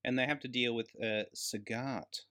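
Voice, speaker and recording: A man's voice: mid-range voice, fairly even intonation, normal speed, sharp tone. A man co-hosting a casual film review chat, emphatic and slangy, with plenty of swearing. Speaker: podcast co-host.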